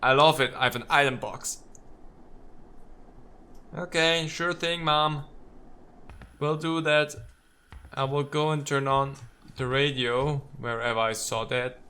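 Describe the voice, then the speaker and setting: A man's voice: mid-range voice, steady tone, slow pace, pauses often, sharp tone, smooth. A man giving casual, playful let's play commentary, slipping into theatrical readings of game text and talking to the characters.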